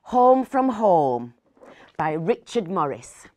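A woman in her fifties reading a story aloud dramatically, with pauses and hushed asides. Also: on the low side, sing-song, no room sound. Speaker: actor reading a short story.

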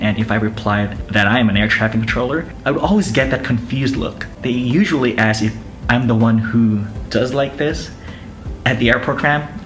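A young man with a Filipino accent explaining things to camera as a vlogger in a living room. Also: fairly low pitch. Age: young adult. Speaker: vlogger, air traffic controller. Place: small living room, indoors. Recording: music playing behind.

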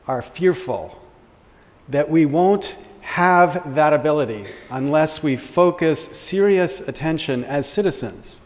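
A middle-aged American man delivers a deliberate, formal conference address from a lectern. His voice sits a little high for a man. The podium mic catches the long reverb of a wood-panelled lecture hall around him. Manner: measured, deliberate, gestures while speaking at a lectern. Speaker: law professor giving opening remarks. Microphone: podium microphone.

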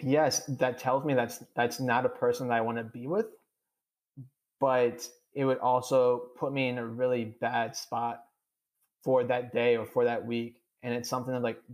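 A man's voice: mid-range voice, a little mellow. A young man with a strong stutter, answering podcast interview questions candidly, with many repeated sounds and long strings of "uh". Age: young adult. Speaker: podcast guest.

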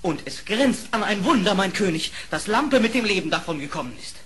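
High-voiced, excitable lynx courtier. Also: male voice, brisk, husky, full character voice. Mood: recounting. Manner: excitable, formal, theatrical. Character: fiery, zealous lynx court marshal. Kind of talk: German fairy-tale radio play acting.

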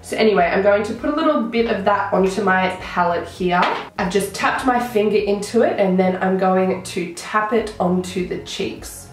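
Croaky woman vlogger in an echoey room. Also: medium pitch, normal speed, slight background noise. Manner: chatty, enthusiastic product talk. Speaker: beauty vlogger. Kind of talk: casual makeup tutorial chat. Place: small room indoors.

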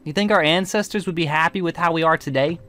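A man's voice: a little high, fast, fairly bright tone, soft background music, close to an everyday voice. A man playing a bitter, proud, suspicious warlock leader in an amateur game roleplay, brooding dramatically and snapping back with sassy slang.

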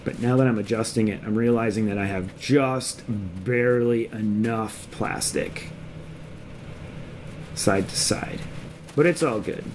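A man's voice: lowish voice, measured pace, a little mellow. A man in his thirties casually narrating a how-to homestead vlog in a basement workshop, easygoing and full of ums. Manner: easygoing, rambling, many ums. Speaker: homestead vlogger. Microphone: action camera on the body. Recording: noisy basement with chickens clucking. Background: chicks cheeping.

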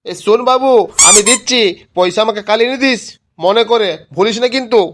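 A man's voice: extremely high pitch, brisk, fairly bright tone. Cartoon village shopkeeper played by a man: grumbling, tight with money, scolding in repeated, exasperated bursts. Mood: flustered. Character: grumbling, money-minded village sweet-shop keeper. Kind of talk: amateur cartoon comedy voice acting.

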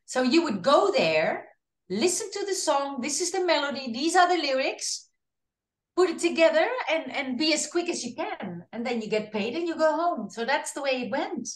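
A woman with a Dutch accent, a singer in her fifties, chatting in an animated way over a video call from her home with an earphone mic, often restarting her sentences. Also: sits high, moderate pace, roomy sound. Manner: animated, gestures with hands while talking, frequent restarts. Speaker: singer being interviewed. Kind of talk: casual video call interview. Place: home room. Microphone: video call, earphone headset.

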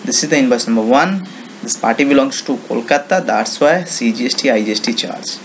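Indian-accented man with a creaky voice. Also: medium pitch, expressive, a little hiss or hum. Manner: halting, non-native English phrasing with repeated check words. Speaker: software demonstrator. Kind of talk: informal screen tutorial, unscripted.

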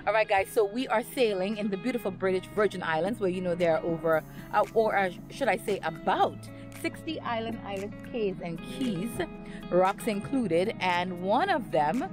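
A woman's voice: mid-range voice, animated, normal speed. A young woman hosting a travel vlog from a small boat at sea, talking chattily to viewers on a camera held at arm's length. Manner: bubbly, chatty, addressing viewers. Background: background music.

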